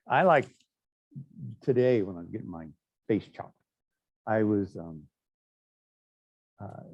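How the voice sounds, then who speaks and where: Gravelly, lively middle-aged male teacher. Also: lowish voice, no room sound, dull audio. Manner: chatty, anecdotal, frequent fillers and asides. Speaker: instructor. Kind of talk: classroom lecture.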